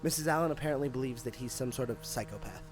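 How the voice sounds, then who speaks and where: A man's voice: medium pitch, rapid-fire, faint music behind, natural voice. A man acting a confident, cynical police detective with a sardonic, matter-of-fact manner in an audio drama. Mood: sardonic, assured.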